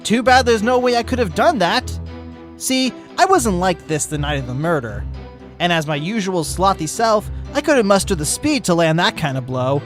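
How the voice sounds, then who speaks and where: A man voicing a hyperactive, boastful sloth fitness instructor in a fan fiction reading, peppy and shouty. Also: sits high, expressive, normal speed, faint music behind, pitched above the performer's own voice. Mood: boastful, energetic.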